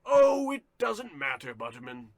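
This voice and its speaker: A man playing a pompous, theatrical disgraced company president in a radio comedy play, with a husky voice. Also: sits high, sing-song, a little slow, no echo, clean studio sound, heavily acted. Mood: melodramatic despair. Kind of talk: old-time radio comedy melodrama.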